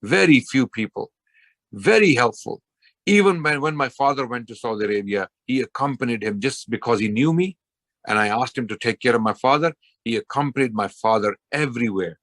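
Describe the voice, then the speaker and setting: A man's voice: mid-range voice, animated, a little fast, fairly bright tone, dry recording. A man giving an earnest spoken tribute to a scholar he admired, repeating phrases for emphasis. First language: not Persian. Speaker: Islamic preacher giving a tribute.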